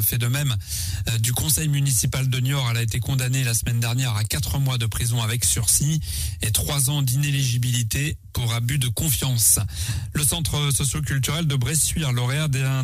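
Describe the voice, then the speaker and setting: A man's voice: medium pitch, no room sound. Casting: male newsreader for regional radio, dry close studio sound, light music bed. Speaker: radio news presenter. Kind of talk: regional radio news reading.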